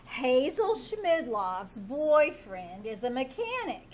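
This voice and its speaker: A woman's voice: high voice, very animated, takes it slowly, darkish tone, full character voice. A woman playing a brassy, sardonic, wisecracking maid in a live old-time radio comedy. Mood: breezy joking. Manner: wisecracking, slangy delivery.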